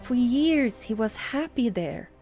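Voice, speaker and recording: Hushed, whispery woman telling a bedtime story. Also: a little high, big pitch swings, takes it slowly, faint music behind. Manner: soft, hushed, often whispering. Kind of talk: improvised bedtime story narration.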